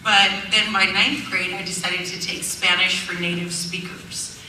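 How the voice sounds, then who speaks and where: A woman's voice: fairly low pitch, level tone, measured pace, bright, forceful tone, slight background noise. A middle-aged woman speaking on a panel as a teacher, earnest, with fillers and restarts. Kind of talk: panel talk. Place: event hall. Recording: echoey hall, far from mic.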